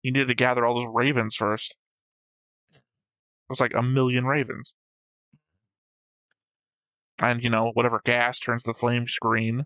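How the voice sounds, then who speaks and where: A man's voice: medium pitch, level tone, measured pace, long pauses, sharp tone, clear, resonant tone, no room sound. A man co-hosting a reaction show, chatting casually in a blunt, argumentative, sweary way. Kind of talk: casual discussion of an anime episode.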